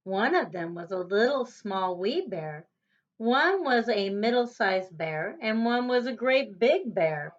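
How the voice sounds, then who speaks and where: A woman reading a children's story aloud as a teacher would, in a deliberate storytelling manner with emphatic contrasts. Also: medium pitch, lively intonation. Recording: dull, lo-fi recording.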